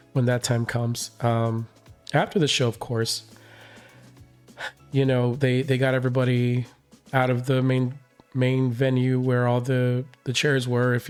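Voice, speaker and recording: A man with a hoarse, recovering voice, casually recapping his concert experience with many fillers and restarts. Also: mid-range voice, darkish tone. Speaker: reaction channel host.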